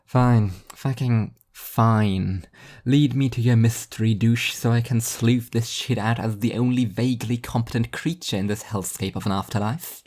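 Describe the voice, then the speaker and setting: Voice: mid-range voice, steady tone, moderate pace, a little mellow, natural voice. A storyteller voicing a grumpy, sardonic, lethargic female devil who grumbles in a weary, irritable, foul-mouthed way. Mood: exasperated, sardonic.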